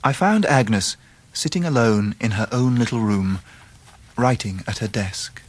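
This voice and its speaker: An actor playing an earnest, polite young Victorian English gentleman in a radio drama. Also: lowish voice, a little slow. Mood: reflective. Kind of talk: radio drama narration and dialogue.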